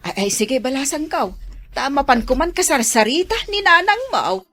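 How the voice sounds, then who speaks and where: Chatty gossiping neighbour, female, lively swooping intonation, teasing, radio drama delivery. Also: high voice, moderate pace, faint music behind, full character voice. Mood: gossipy, sly. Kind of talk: Ilocano radio drama acting.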